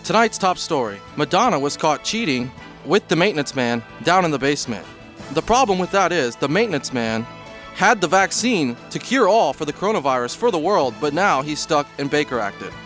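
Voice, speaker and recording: A man's voice: a little high, big pitch swings, a little fast, a bit bright, soft background music. A man on a radio show, earnest and compliant, talking like an amateur trying out as a newsreader. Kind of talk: amateur comic newscast and short replies.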